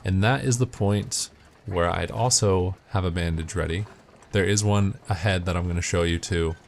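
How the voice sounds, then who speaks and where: A man's voice: low voice, moderate pace, gravelly, slight background noise. A man giving matter-of-fact, step-by-step instructional commentary as he narrates a video game walkthrough.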